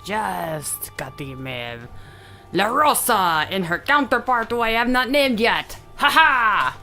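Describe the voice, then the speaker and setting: A woman chatting casually as a tabletop game player, playful and quick to exclaim. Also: mid-range voice, lively intonation, sharp tone, faint music behind.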